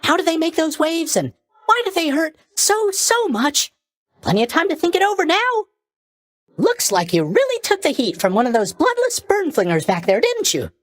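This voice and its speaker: A man's voice: very high voice, wildly swooping pitch, moderate pace, a bit bright, no room sound, heavily acted. A man playing a cheery, oblivious young god of sleep in a video game, chatty and blithely tactless in his mock sympathy. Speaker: video game character voice actor. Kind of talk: video game character voice lines.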